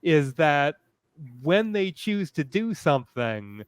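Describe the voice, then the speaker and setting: A man speaking as a panelist in a fan discussion, hesitant and thoughtful, often halting as he phrases things. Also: fairly high pitch, sing-song, clean tone, dry recording, clean studio sound.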